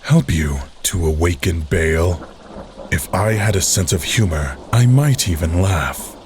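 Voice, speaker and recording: An actor voicing a weary, stern, powerful immortal man in a video game, speaking gravely and with measured delivery. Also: low voice, expressive, slight background noise. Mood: grave, dry. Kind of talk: dramatic video game dialogue.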